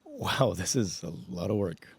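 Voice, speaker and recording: An actor playing a hapless, groggy male coworker in a comedic audio drama, husky and casual, grumbling along. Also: deep voice, lively intonation, measured pace, no echo, heavily acted. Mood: weary.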